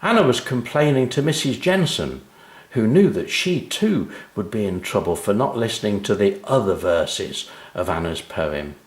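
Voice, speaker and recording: A man reading a scripted children's story as narrator, in an expressive and deliberate storytelling manner. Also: on the low side, echoey room. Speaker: author reading his own story.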